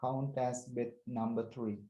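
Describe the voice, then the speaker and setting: A man leading a guided breathing meditation as a teacher, speaking calmly and deliberately in short phrases with pauses. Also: mid-range voice. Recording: roomy echo.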